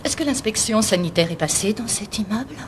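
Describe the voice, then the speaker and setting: A woman's voice: medium pitch, animated, fairly quick, a little hiss or hum, close to an everyday voice. A female actor playing a hesitant, suspicious prospective tenant in French-dubbed film dialogue, with a nitpicking, wavering manner. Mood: fussy, suspicious.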